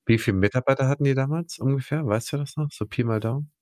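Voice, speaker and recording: A man's voice: mid-range voice, quick pace, a little mellow, dry recording, studio quality. A man hosting an interview, chatting and sharing anecdotes in a conversational style. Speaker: podcast interviewer.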